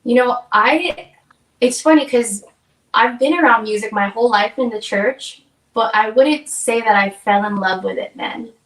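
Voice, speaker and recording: A woman's voice: fairly high pitch, moderate pace, a bit bright, distant mic. A chatty young woman from Hawaii talks as a guest singer-songwriter and acts out the things people said to her. She sits back from the mic, and her voice rings around an echoey room. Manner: upbeat, chatty, acts out quoted exchanges. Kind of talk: casual interview chat.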